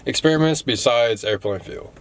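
A man's voice: mid-range voice, expressive, moderate pace. Easygoing young man describing driving. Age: young adult. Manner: describes how the car drives, easygoing. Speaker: co-host driver. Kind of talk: casual car vlog. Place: inside a small car.

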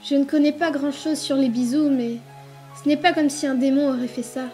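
Woman as gentle young boy, comic dub: very high voice, earnest, stubborn, soft-hearted, over music. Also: fairly even intonation, brisk, close to an everyday voice. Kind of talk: dramatic comic fan-dub acting.

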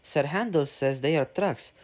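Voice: mid-range voice, measured pace, natural voice. A narrator voicing Peter Sam, an eager, polite young male engine who chats on and on. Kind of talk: storybook reading.

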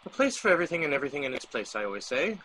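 Man acting fussy exasperated gentleman, radio drama, bright forceful voice, highish pitch, declamatory. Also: sing-song, racing, slight background noise, poor audio. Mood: fussy, reminiscing.

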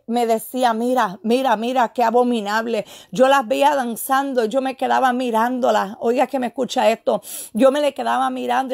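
A woman's voice: fairly high pitch, vocal fry, a little mellow, no room sound, studio quality. A woman preaching in prophetic exhortation and prayer, fervently and with insistent repetition of phrases.

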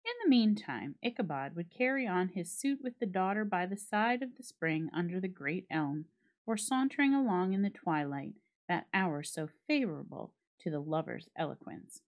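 Female storyteller, slightly low register, very animated intonation, careful phrasing for a dramatic literary reading. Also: normal speed. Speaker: library staff storyteller.

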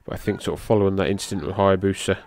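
A man's voice: rapid-fire, a little hiss or hum. A middle-aged man, a podcast host giving loose, rambling play-by-play of a match, who swears casually and lifts his voice at the big moves. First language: English. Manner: loose, rambling, swears casually, gets excited at high spots.

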